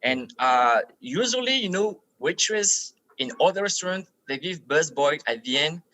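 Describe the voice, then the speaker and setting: A man's voice: a little high, lively intonation, normal speed, pushed and bright, slight background noise, rough recording. A young man from France speaking English with a French accent, chatting animatedly as a podcast guest, swearing, laughing and repeating words. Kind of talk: casual chat.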